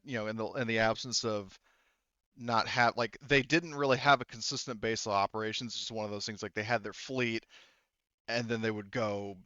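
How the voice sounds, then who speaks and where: A man running a tabletop game as game master, explaining things casually in a halting, repetitive way. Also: fairly low pitch, sharp tone, no room sound, muffled recording. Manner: halting, explanatory, repeats himself.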